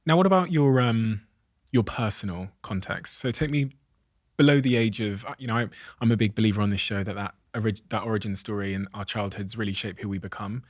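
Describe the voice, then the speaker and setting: A man's voice: fairly low pitch, a little fast, no echo, clean studio sound. A man hosting a podcast, asking probing questions in a conversational interview style.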